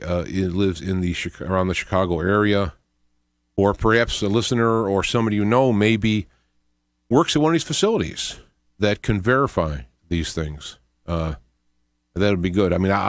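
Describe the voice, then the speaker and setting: Middle-aged to older man, lowish voice, radio host, halting musing monologue, close dry studio mic. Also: dry recording. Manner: halting, musing, with repeated words. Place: small indoor home studio. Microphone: close studio microphone with headphones.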